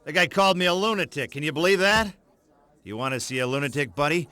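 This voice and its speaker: An American man playing a cocky, sarcastic, hard-boiled monster slayer in a video game, with deadpan swagger. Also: fairly high pitch, fast, clean tone. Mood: indignant. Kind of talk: video game voice acting, wry narration.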